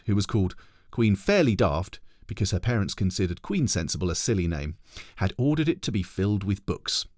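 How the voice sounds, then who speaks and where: A man reading a children's storybook aloud as a teacher, in an expressive narrating style. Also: lowish voice, no echo, studio quality.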